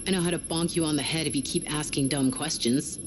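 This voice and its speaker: Low-voiced wry spacewoman played by an actress. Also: fast, background noise, lightly acted. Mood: teasing. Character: wry, blunt, caring woman on a space crew. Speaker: video game character, spacecraft crew member. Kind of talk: cinematic game acting, casual banter.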